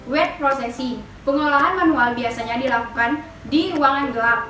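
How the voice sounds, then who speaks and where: Echoey, high-voiced young woman presenting a lesson. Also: fairly bright tone. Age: young adult. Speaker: dental student presenter. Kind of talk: scripted lesson narration. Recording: echoey room, distant mic, music behind. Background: music.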